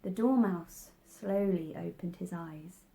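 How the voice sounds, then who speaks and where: A woman reading a children's story aloud, with measured, expressive scripted narration. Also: lowish voice, creaky.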